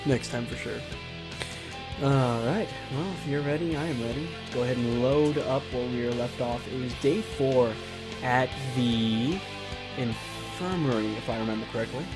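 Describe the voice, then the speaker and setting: A woman with an exceptionally low voice hosts a casual let's-play. She moves between playful asides and narrating a visual novel out loud. Guitar music plays clearly behind her. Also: normal speed. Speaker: host of a gaming show. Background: guitar game music.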